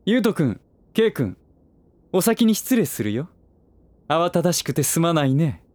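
A man playing a suave, middle-aged gentleman who teases with smug, playful condescension in a voice drama. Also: fairly high pitch, sing-song, unhurried. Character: suave teasing older man, playfully villainous. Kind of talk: voice drama acting.

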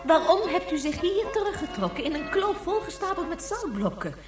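An actress playing an earnest woman who argues in a measured, insistent way in a science fiction radio drama. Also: extremely high pitch, sing-song, over music. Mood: puzzled, probing. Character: earnest, probing woman defending endless life extension. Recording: echoey, distant, faint hum.

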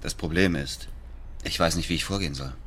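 An actor in a radio drama playing a bitter, haunted middle-aged man who narrates his own story in a terse, gravelly voice. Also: fairly quick, a bit bright, a little hiss or hum. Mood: guarded, stiff. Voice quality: very low, gravelly. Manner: terse, brooding.

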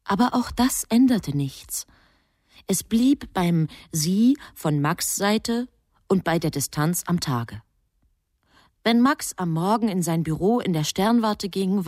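An actress playing a composed, knowing storyteller, narrating a German radio drama in measured phrases. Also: female voice, fairly low pitch, a little mellow, dry recording, clean studio sound. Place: studio.

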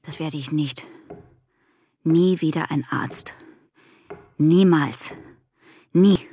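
An actress playing a distraught, fragile but stubborn daughter in a radio drama, with a husky, breathy voice. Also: female voice, sits low, extremely slow, warm tone, exaggerated voice. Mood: defiant.

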